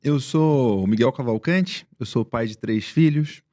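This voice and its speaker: A man's voice: medium pitch, measured pace, dark tone, dry recording, studio quality. A Brazilian man with a light Rio de Janeiro accent, a podcast guest chatting casually and reflectively. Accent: Rio de Janeiro Portuguese. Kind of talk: casual interview chat.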